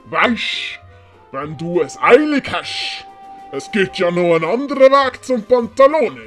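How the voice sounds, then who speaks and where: A woman voicing a sly, scheming man who wheedles slowly in an audio play. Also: mid-range voice, sing-song, faint music behind. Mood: sly, coaxing. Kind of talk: German-language audio play acting.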